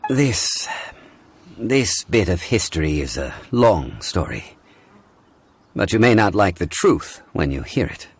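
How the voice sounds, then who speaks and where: A man playing a calm, learned consultant in a video game, speaking in a measured, formal, scholarly way. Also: sits low, a little hiss or hum, full character voice. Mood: composed, courteous. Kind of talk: scripted video game dialogue. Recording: music under the voice.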